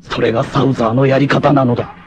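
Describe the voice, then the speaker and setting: An actor playing a noble, fatherly blind rebel warrior in an anime, with a solemn, formal, measured delivery. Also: medium pitch, level tone, background noise, lightly acted. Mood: bitter, grieving. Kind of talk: dramatic anime acting.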